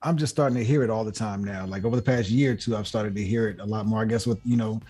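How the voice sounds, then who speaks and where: A man hosting a casual podcast, asking his guest relaxed, curious questions. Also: on the low side, fast. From United States. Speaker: podcast host.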